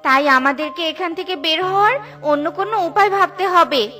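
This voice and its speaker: A woman's voice: very high-pitched, moderate pace, sharp tone, faint music behind. A woman voicing a brave, earnest little female bird in a children's cartoon. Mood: worried.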